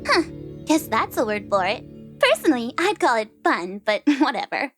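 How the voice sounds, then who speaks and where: Woman playing a very high, bubbly teasing death goddess. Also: sing-song, moderate pace, a bit bright, faint music behind. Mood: playful. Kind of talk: amateur audio drama acting.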